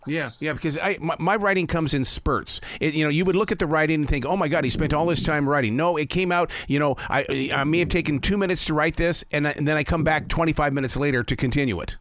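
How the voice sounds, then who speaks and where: A man with a Midwestern accent hosts the chat, enthusiastic and animated. His voice sits a little high, recorded dry and close. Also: fast, few pauses, no echo. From Montana. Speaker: host. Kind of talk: casual interview chat.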